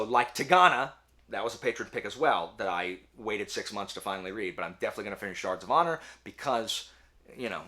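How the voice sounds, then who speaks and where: A man's voice: fairly low pitch, bright, forceful tone. A man chatting casually to viewers about his reading in an informal, rambling, slangy way, as host of his own video. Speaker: book reviewer, video host.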